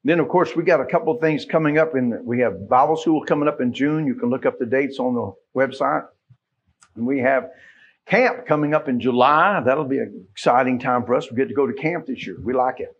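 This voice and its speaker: A man's voice: medium pitch, fairly quick, slightly rough. A middle-aged American man, a pastor speaking informally from the pulpit in a folksy, conversational way, putting questions to his listeners. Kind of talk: informal church announcements from the pulpit. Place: small church sanctuary, at pulpit. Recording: dull, muffled church sound recording.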